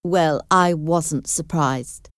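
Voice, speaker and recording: A woman voicing a sensible, cautious young woman in a storybook, a protective sister with a frank, measured manner. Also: low voice, dry recording, clean studio sound. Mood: matter-of-fact.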